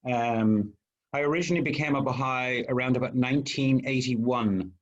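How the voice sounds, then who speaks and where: A man's voice: mid-range voice, moderate pace. A man with a Dublin Irish accent, a guest speaker opening his talk on a video call with many ums and restarts. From Ireland. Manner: hesitant, lots of ums and restarts. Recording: dull lo-fi video call audio.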